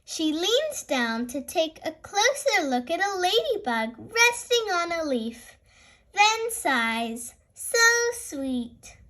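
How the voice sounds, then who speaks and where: A woman reading a picture book aloud to young children as host, expressive and deliberate in her delivery. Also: extremely high pitch. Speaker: storyteller, channel host.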